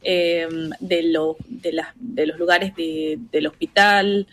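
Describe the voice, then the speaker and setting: A young woman from northern Argentina with an Argentine accent, speaking as a relaxed interview guest, full of "este" fillers. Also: low voice, slow, rough recording. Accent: Argentine Spanish. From Argentina, Salta, Tartagal. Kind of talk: casual interview by call. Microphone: video call.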